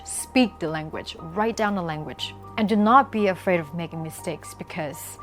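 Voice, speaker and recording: Emphatic Thai woman vlogger, lower-pitched voice. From Thailand. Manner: emphatic, repeats key points. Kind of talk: talking to camera, explanatory vlog with sponsor pitch.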